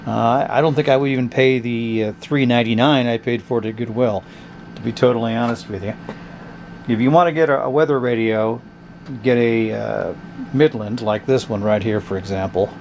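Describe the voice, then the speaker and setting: A man's voice: medium pitch, moderate pace, darkish tone, a little hiss or hum. Offhand man reviewing a cheap radio. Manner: offhand, blunt, thinking aloud. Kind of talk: casual product review. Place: indoors at a tabletop.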